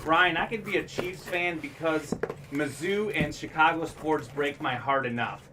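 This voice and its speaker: A man's voice: on the high side, unhurried, recorded from a distance, background noise. A young man born in Bulgaria, co-hosting a sports memorabilia stream in a casual, chatty way, full of sports facts. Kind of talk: casual chat.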